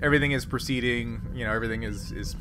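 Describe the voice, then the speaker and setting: A man running a tabletop game as game master, describing scenes in a halting, casual way. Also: mid-range voice, steady tone, crowd noise. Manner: halting, full of ums and trailing off. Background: music.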